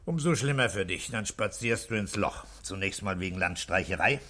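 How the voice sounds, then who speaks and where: An actor playing a blunt, commanding police commissioner questioning people in a German radio play. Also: male voice, low voice, lively intonation, moderate pace, bright, forceful tone, heavily acted. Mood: stern, pressing. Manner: blunt, ordering, needling. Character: gruff, commanding male police commissioner interrogating suspects.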